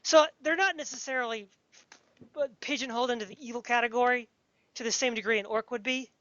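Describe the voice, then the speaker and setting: Emphatic, high-pitched woman, muffled recording. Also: quick pace, a bit bright, dry recording. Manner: emphatic, insistent, pedantic. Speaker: podcast speaker discussing fantasy. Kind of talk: opinionated casual discussion.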